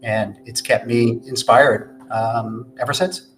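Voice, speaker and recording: A man of middle pitch answers interview questions as a podcast guest. He speaks softly and calls himself a low talker. His answers are measured and articulate, and he often builds them up as lists. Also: fairly even intonation, faint music behind, rough recording. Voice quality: low, soft-spoken.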